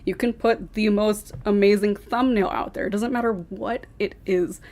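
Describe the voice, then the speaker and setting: A woman's voice: mid-range voice, steady tone, moderate pace, darkish tone. Blunt, emphatic woman. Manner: blunt, emphatic, repeats points. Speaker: podcast co-host. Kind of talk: casual group chat on a call.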